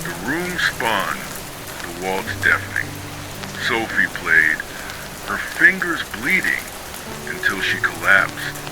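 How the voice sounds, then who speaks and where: A man with a low voice narrates eerie tales in a solemn, scripted style. His delivery is very unhurried and broken into short dramatic phrases, yet the pitch swings expressively. It suits a bedtime horror story. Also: rough recording. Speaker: narrator of ghost stories.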